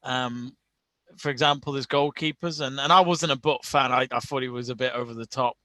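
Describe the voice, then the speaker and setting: A man co-hosting a live football watch-along, talking casually with frequent restarts and quick laughs. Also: medium pitch, fairly even intonation, pushed and bright, no room sound. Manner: chatty, many restarts and laughs. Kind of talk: casual live-stream chat.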